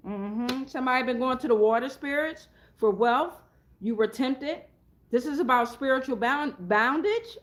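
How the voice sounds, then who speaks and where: A woman with a fairly high voice leads a casual, improvised spiritual card reading. She is blunt and slangy, swears freely, and repeats phrases to drive them home. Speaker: tarot reader hosting a reading.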